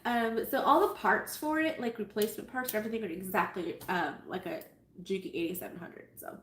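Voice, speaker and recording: A woman's voice: mid-range voice, a little fast, fairly bright tone. A woman with a stuffy, nasal voice hosting a casual live sewing tutorial, chatty and self-amused as she greets viewers. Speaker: sewing livestream host. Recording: echoey room with faint noise.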